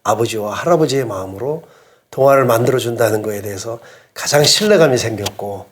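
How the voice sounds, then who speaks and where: Polite male interview host, medium pitch. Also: lively intonation, fairly quick, slight background noise. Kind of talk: conversational interviewing.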